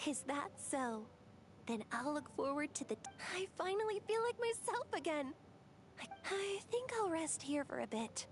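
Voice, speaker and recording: Weary high-voiced young fighter. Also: female voice, big pitch swings, moderate pace, faint music behind. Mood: weary, relieved. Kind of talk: anime game voice acting.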